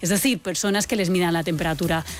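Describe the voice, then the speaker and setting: A woman's voice: lowish voice, fairly even intonation, fast, faint music behind. A woman with a Castilian Spanish accent hosting a live radio show, talking in a chatty, informal, conversational way.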